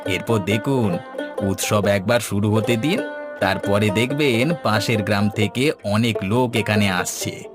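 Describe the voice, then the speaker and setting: A man's voice: fairly low pitch, unhurried, lightly acted. A man voicing an earnest, brave village man in a cartoon, speaking in a declamatory, respectful way. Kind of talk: Bengali cartoon voice acting. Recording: music playing behind the voice.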